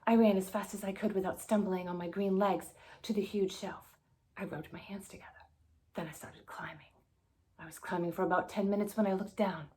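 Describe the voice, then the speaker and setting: A woman reading a children's spooky story aloud in an expressive storybook style. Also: mid-range voice, fairly quick. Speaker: guest story reader.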